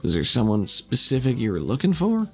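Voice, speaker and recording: A man voicing a gruff, streetwise invisible woman spy in a tabletop roleplay, talking in a wry, slangy drawl. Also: mid-range voice, huge pitch swings, fairly quick, soft background music. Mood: weary. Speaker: game master.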